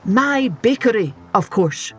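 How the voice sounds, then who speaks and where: A woman's voice: a little high, animated, slow, soft background music. A woman voicing a fussy, fretful male baker in an exclamatory, sputtering storybook manner. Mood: helpful.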